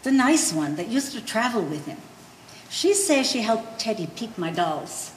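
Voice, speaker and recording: A woman's voice: on the high side, sing-song, measured pace. A woman playing a blunt old mother who speaks broken English with dropped articles in a live one-act stage play. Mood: urgent, pleading. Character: blunt old immigrant mother of a grown son. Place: art gallery stage reading. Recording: roomy echoing hall, faint noise.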